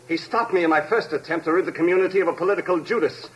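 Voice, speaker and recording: An actor playing a deranged escaped convict, calm, polite and coldly menacing, in the style of a 1950s radio drama. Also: male voice, a little high, rapid-fire, breathy edge, lo-fi sound. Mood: smug, threatening. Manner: polite, composed, coldly precise. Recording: noisy vintage radio recording.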